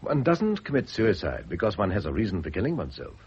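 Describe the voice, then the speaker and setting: British gentleman, cynical, epigrammatic, deep, radio drama, muffled noisy old recording. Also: huge pitch swings, fast talker. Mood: cynical, philosophising. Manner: urbane, ironic, epigrammatic. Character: cynical, witty, well-off husband, urbane and self-indulgent. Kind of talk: classic radio drama acting.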